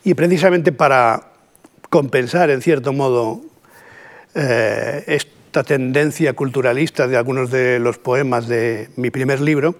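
A middle-aged man from Catalonia with a Catalan Spanish accent, giving a measured, reflective poetry lecture with pauses and rhetorical asides. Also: mid-range voice.

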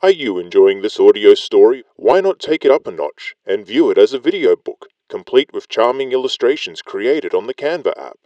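A man's voice: on the low side, big pitch swings, normal speed, few pauses, dark tone, no echo, lo-fi sound. A man reading a scripted promotional message as an announcer.

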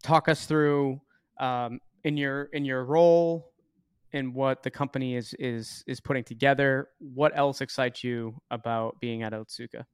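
A man's voice: mid-range voice, fairly even intonation, a little slow, smooth, no echo, clean studio sound. A man hosting a podcast interview, asking questions in a friendly way and stumbling over his words a little. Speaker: podcast host.

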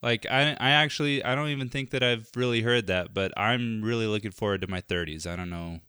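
A man's voice: on the low side, fairly quick, pushed and bright, clean tone, dry recording, studio quality. A man in his late twenties in a casual, chummy chat, speaking informally with plenty of slang. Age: late 20s. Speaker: software engineer chatting with a friend.

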